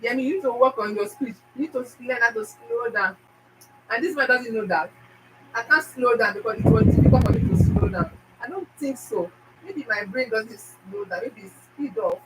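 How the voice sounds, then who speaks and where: Nigerian woman vlogging, fast and emphatic, says things twice, mic kinda far off. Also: on the high side, noisy background. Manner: emphatic, repeats phrases, fast-talking. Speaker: YouTube channel host. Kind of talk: casual motivational talk to viewers.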